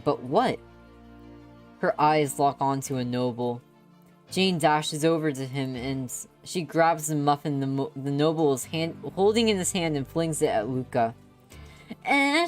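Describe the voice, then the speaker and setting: Lower-voiced woman narrating over music. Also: darkish tone. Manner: dramatic, playful read-aloud delivery. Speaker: streamer reading visual novels aloud. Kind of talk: expressive live story narration. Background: background music.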